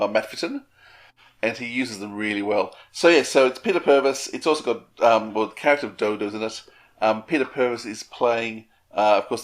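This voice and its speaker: A man co-hosting a podcast, chatting casually in a halting way with restarts. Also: mid-range voice. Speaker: podcast co-host. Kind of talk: casual chat.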